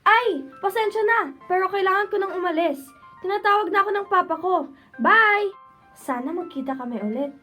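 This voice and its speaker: A woman plays a young daughter in an amateur radio drama. Her voice is extremely high and bright, with an earnest, pleading delivery. Faint music runs behind her. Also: expressive, a little fast, heavily acted. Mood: polite, light. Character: emotional young daughter of a corrupt town mayor.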